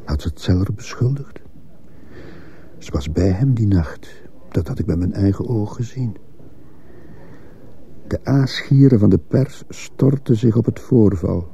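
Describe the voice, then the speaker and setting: An actor playing a brooding, troubled man who narrates a radio play in the first person, grave and measured, with a gravelly, husky voice. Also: lowish voice, animated, mellow, a little hiss or hum. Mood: brooding, uneasy. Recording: muffled, dull radio play recording.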